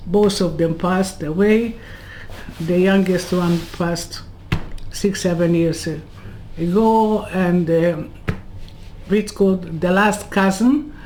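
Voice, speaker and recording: Woman, 80s, from Serbia; very slow, halting non-native English with rambling asides; webcam interview from home. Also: on the low side, warm tone, slight background noise. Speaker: Holocaust survivor interviewee. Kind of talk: personal life story told in an interview. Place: home room lined with bookcases. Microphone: webcam on a video call.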